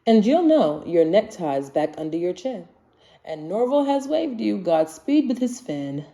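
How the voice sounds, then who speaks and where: Female storybook reader, soft-edged mellow voice, highly varied intonation, expressive singsong delivery of rhyming verse for kids. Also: a little slow, gravelly. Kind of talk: children's picture book read-aloud in rhyme.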